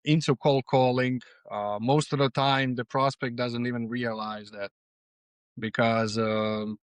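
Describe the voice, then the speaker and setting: A man's voice: medium pitch, clean tone, no room sound. A man from Serbia with a Serbian accent, speaking English as a second language, answering conversationally as a podcast guest. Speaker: podcast guest, freight agent.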